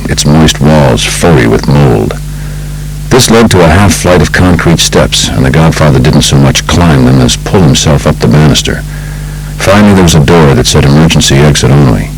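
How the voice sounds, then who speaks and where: A man with an American accent reading a true crime audiobook in a measured, polished storytelling manner. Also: extremely low pitch, background noise. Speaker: audiobook narrator. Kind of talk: scripted narration of true crime book.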